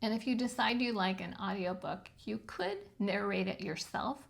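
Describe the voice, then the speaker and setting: A woman over fifty with a high-pitched voice, giving frank, chatty advice on self-publishing with plenty of ums. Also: normal speed, gravelly. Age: 50s or older. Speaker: channel host teaching self-publishing. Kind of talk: casual instructional talk to camera.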